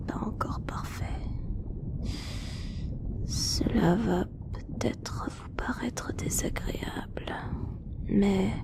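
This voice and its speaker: Whispering, creaky-voiced woman as an attentive android examiner. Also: medium pitch. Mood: focused. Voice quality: breathy whisper with creaky edges. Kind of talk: whispered French ASMR medical roleplay.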